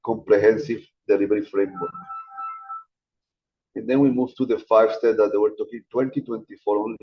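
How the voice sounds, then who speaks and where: A man gives an online lecture in a loose, conversational way, calling his students guys. His voice sits low. The call audio is dull and lo-fi. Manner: casual, chatty teaching, addresses students as guys. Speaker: guest lecturer.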